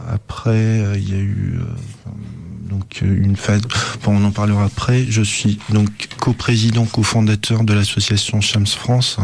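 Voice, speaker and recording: Hesitant, fairly low-voiced man interviewed. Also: little pitch movement, vocal fry, soft background music. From Tunisia. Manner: hesitant, halting, searching for words. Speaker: interview guest, association co-president. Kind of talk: unscripted radio interview. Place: radio studio.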